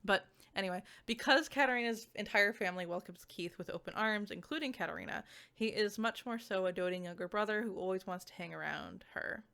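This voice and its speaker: A woman giving a casual, conversational review to viewers, animated and peppered with "like" asides. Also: medium pitch, fairly quick, creaky. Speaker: visual novel reviewer.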